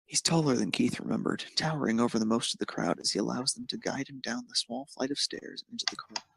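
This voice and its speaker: A man's voice: mid-range voice, quick pace, gravelly, a bit bright, husky. A man narrating a scripted piece of fan fiction as a storyteller.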